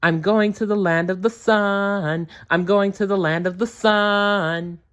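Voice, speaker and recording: Man as a joyful, high-pitched little elf girl. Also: fairly even intonation, slow pace, a bit bright, clean tone. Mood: elated. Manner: sing-song, joyful. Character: excitable little female elf. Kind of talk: storybook read-aloud.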